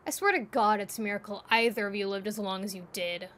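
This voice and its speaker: A woman voicing a short-tempered, gruff young man who swears and talks abrasively in an audio drama, over background noise. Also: mid-range voice, moderate pace, lightly acted. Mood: gruff disdain. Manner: swearing, brash, loud and abrasive. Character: foul-mouthed, short-tempered, gruff young male grump.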